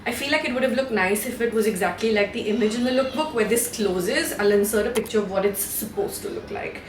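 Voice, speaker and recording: A woman's voice: on the high side, a little fast, reverberant, a little hiss or hum, poor audio. Chatty Indian woman in her thirties. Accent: Indian English. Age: 30s. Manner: chatty, candid, swears and mixes in Hindi. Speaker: vlogger. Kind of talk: casual chat to camera. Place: room at home, indoors.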